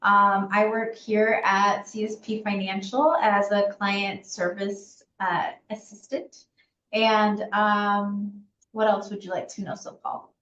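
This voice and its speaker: A woman in her thirties speaking in a relaxed, reflective way, using plenty of fillers. The sound is a bit dull and carries a roomy echo. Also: mid-range voice, slow. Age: 30s. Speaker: client service assistant. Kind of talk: casual interview answers.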